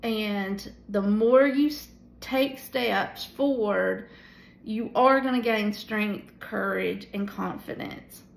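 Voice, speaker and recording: Slow-talking tired woman teaching Bible study. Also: mid-range voice, vocal fry, echoey room, poor audio. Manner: relaxed, unhurried, with many pauses and fillers. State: tired at the end of the day. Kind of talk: casual devotional teaching. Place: small room at home, indoors. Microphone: phone camera at arm's length.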